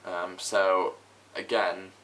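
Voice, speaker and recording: A young man with a low, bright voice talks through a hobby tutorial. He explains things conversationally, with a halting delivery. It is a home camera recording with a faint layer of noise. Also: level tone, low-quality recording. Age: young adult. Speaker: host, hobbyist record restorer. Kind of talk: informal tutorial vlog. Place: small room at home, indoors. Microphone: camera microphone.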